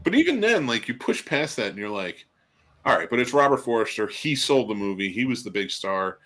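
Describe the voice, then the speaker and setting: A man's voice: mid-range voice, very animated, fairly quick, fairly bright tone. A middle-aged man chatting casually about films on a podcast, ranting in exasperation and swearing freely. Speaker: film podcast panelist. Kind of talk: casual profane film chat.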